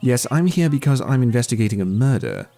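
An actor playing a brisk, earnest police detective in an audio drama, speaking formally with wordy, precise diction. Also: male voice, medium pitch, sing-song, gravelly, warm tone. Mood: inquisitive.

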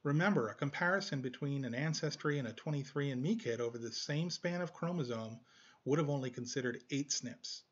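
A man in his forties presenting an explanatory tutorial in a measured, didactic way. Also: medium pitch, breathy edge, dull audio.

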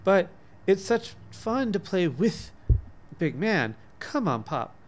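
A man voicing a lively little girl who pleads in childish grammar in a story. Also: high-pitched, takes it slowly, pitched above the performer's own voice, full character voice. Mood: pleading, pouting. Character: lively, pleading little girls wanting to play.

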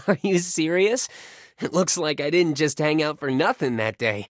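Boyish, animated young pop singer. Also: male voice, fairly high pitch, unhurried, no echo, clean studio sound. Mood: amused. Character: playful, affectionate young male pop singer. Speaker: voice actor in a mobile romance game. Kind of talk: scripted game phone-call dialogue.

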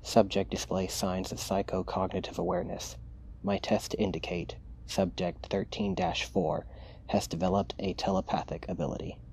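A man playing a cold, menacing, possessive mad scientist in an ASMR roleplay, clinical and taunting in a hushed way. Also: sits low, expressive, moderate pace, vocal fry, slight background noise. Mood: clinical, detached. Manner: clinical dictation mixed with hushed, taunting asides.

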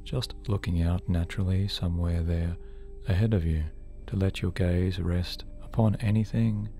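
Man, deep relaxed voice, soothing hypnotherapist, deliberate phrasing, music underneath. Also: creaky. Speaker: hypnotherapist host. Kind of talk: guided sleep hypnosis narration. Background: background music.

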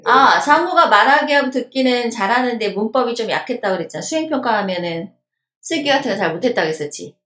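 A woman's voice: on the high side, lively intonation, fast, fairly bright tone. A Korean woman teaching an online lesson conversationally, encouraging her students and prompting them with questions. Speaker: online English teacher, host. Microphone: video call. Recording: roomy video-call sound.

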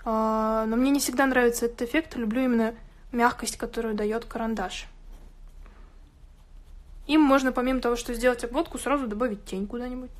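Woman w a highish voice teaching drawing, chatty, kinda thinking out loud as she goes. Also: fairly even intonation, brisk. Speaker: art tutorial host. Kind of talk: informal drawing tutorial, explaining while working. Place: indoors at a drawing table.

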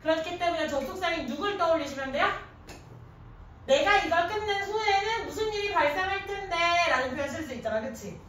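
A woman in her thirties teaching a grammar class in an animated, informal way, often quizzing students with questions. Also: extremely high pitch, fairly quick. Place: indoor classroom at a chalkboard. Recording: echoey classroom from a distance, faint noise.

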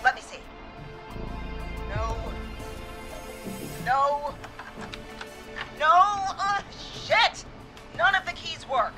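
A woman's voice: high-pitched, expressive, extremely slow, long pauses, loud music behind the voice, full character voice. A woman acting a resolute but frightened police dispatcher in a video game, urgent and hesitant under stress. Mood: tense, searching.

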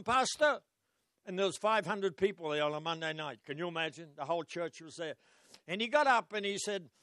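An older man with an Australian accent preaches a storytelling sermon into a handheld microphone. His voice is smooth and slightly high, and the sound is dry and close. He calls out questions to the congregation between his stories. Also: no echo. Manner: storytelling preacher, rising to loud exclamations and calling out to the congregation. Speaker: pastor. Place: church stage. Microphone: handheld mic.

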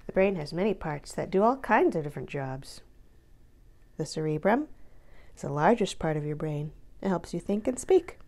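A woman narrates a picture book for children with very lively intonation. Her voice sits a little low and has a gravelly creak. She reads deliberately, stretching important words for emphasis. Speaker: counselor reading a children's book aloud. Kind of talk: expressive read-aloud narration for children.

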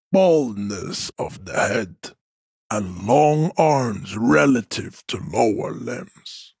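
A man's voice: medium pitch, husky, no room sound, studio quality, full character voice. An actor playing an imposing, arrogant supremacist strongman in a video game, lecturing in a pompous, formal, declamatory way with grandiose contempt. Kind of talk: video game character acting.

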